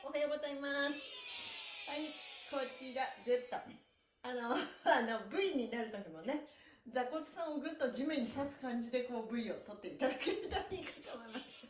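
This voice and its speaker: A middle-aged woman with a notably high-pitched voice hosts a lively stretching class. She is cheerful and animated, calling out exercise cues with plenty of energy. The sound is echoey, picked up in a small bare room. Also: a little hiss or hum. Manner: cheerful, animated, often laughing while talking. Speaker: stretching instructor and livestream host. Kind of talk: upbeat exercise class with counted chants. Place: small bare indoor room, seated on a mat.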